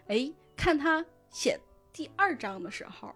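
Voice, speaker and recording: A woman speaking as a podcast guest in casual chat, explaining things in an animated way with frequent check-in questions. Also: sits high, a little slow, soft background music. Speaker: guest, novel recommender and editor.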